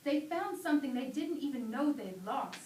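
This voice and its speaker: A woman's voice: high-pitched, animated, moderate pace, darkish tone. A woman giving an earnest dramatic staged reading of a sermon, drawing out key phrases for emphasis. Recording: echoey distant hall, faint background noise.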